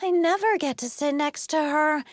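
Woman putting on shrill, overdramatic clamoring schoolkids. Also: expressive, unhurried, no room sound, studio quality. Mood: possessive. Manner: shrill, breathless urgency, overdramatic. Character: frantic, clamoring schoolkids fussing over a popular girl.

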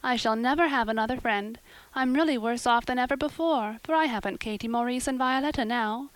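Woman acting as dramatic young girl, high voice, earnest, melodramatic, romantic, flowery old-fashioned diction, audiobook character. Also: fairly quick, dry recording, natural voice. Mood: melodramatic woe.

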